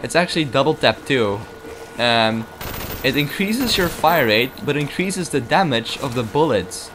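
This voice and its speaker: Young guy chatting slow while he plays, jokes at himself, game noise under him. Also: mid-range voice, expressive. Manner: rambling, self-mocking, talks to the game. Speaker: gameplay commentator. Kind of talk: casual gameplay commentary. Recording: noisy game audio behind.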